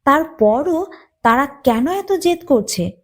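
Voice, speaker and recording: A woman's voice: high-pitched, expressive, voice pushed up. A woman voicing a male duck in a children's story, speaking in an earnest manner. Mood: grave, reproachful. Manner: slow, solemn scolding. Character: grave male duck, friendly then reproachful.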